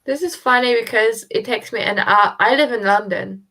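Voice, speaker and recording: A woman's voice: a little high, moderate pace, sharp tone. A woman chatting casually and informally as co-host of a live stream. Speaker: co-host of a book readalong stream. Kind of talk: casual chat.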